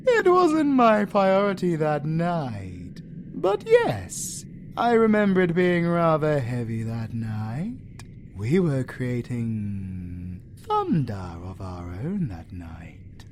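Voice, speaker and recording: An actor playing a commanding, amorous alpha werewolf with a British accent and a theatrical, wry manner in an audio roleplay. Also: male voice, fairly high pitch, wildly swooping pitch, extremely slow, a little hiss or hum, heavily acted. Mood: playful, boastful.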